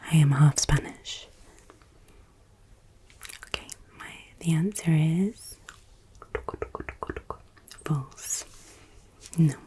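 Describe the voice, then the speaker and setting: An English woman from Yorkshire whispering softly in an ASMR Q&A, teasingly repeating her questions. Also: low-pitched, extremely slow, pauses often, a little hiss or hum. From England, Yorkshire. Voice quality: soft unvoiced whisper. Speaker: ASMR creator.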